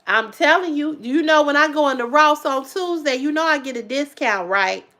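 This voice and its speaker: A woman in her fifties talks straight to her livestream viewers in a casual, emphatic way. Her voice sits high, and she repeats phrases for punch and swears without holding back. She swings from loud outbursts to quiet asides. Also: animated, normal speed. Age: 50s. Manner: emphatic, repetitive, swears freely; swings between loud exclamations and low asides. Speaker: livestream host.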